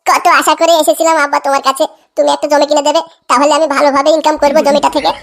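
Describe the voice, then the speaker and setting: A woman's voice: high voice, little pitch movement, rapid-fire, creaky. A woman voicing a feckless, eager young village man with a whiny, insistent manner in a comic cartoon. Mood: pleading.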